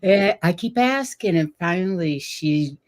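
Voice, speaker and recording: Slow, low-voiced woman hosting a chat. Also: animated. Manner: slow, chatty, with frequent fillers and soft laughs. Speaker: host. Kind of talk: casual livestream chat.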